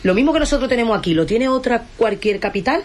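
A woman's voice: fairly high pitch, expressive, fast. A woman with an Andalusian accent, from Huelva, chatting passionately and emphatically as a guest on a live stream. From Huelva, Andalusia, Spain. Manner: passionate, emphatic, repeats phrases for stress. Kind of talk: casual live-stream chat.

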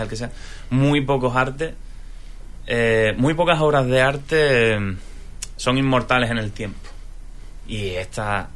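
A man's voice: on the low side, fairly bright tone. A man from in a casual film discussion, opinionated and fond of rhetorical questions. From Spain. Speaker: podcast panelist.

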